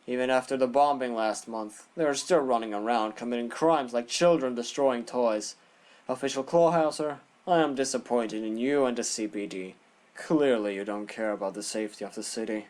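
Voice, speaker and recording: A man's voice: mid-range voice, darkish tone, lightly acted. A man playing a strict, condescending prosecutor in a courtroom audio drama, speaking formally and pompously. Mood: disdainful. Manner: formal, pompous, deliberate phrasing. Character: stern, condescending male bird prosecutor, strict and theatrical. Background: prominent music.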